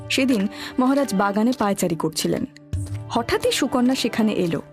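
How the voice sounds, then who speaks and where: Woman story narrator, dramatic scripted read, highish voice, soft music behind. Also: lively intonation, moderate pace.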